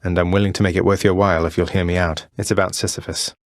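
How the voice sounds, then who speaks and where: A man's voice: deep voice, little pitch movement, fast talker, no echo. A man playing a young, rebellious underworld prince in a video game, with a British accent and a dry, polite, conversational manner. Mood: wry, sincere. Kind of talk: video game character dialogue.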